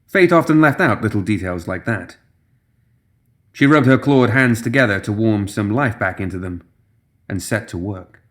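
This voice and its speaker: A man's voice: on the low side, animated, moderate pace. A man reading scripted fantasy fiction as a dramatic audiobook narrator.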